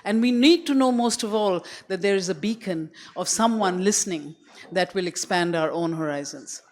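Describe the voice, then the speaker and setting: A woman's voice: fairly low pitch, normal speed, a little mellow. A woman, an artist in the audience, asking a long, winding question from the floor with emphatic enthusiasm.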